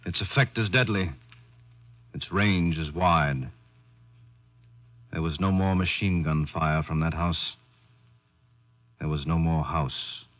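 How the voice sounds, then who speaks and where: A man's voice: sits low, slow, pauses often, a bit bright. An actor playing a grave, resolute commando, telling his war story in dramatic 1940s radio style. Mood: grim. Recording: old 1940s radio recording.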